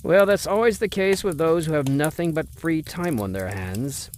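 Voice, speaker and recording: A man's voice: a little high, expressive, moderate pace. A man playing a stern pompous father. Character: stern wealthy older father, Mister Spencer. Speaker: voice actor. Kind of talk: old-time radio drama acting.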